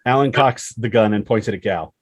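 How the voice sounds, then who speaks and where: A man with a husky voice reading dramatic screenplay stage directions as narrator. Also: on the low side, brisk.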